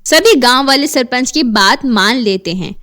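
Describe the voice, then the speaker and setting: A woman narrating an animated horror tale as a scripted storyteller. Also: a little high, moderate pace.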